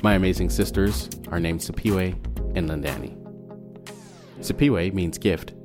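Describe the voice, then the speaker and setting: A man's voice: low-pitched, unhurried. A man from Malawi whose first language is Chichewa, hosting a podcast in a scripted narration with playful asides. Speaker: podcast host. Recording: music bed under the voice.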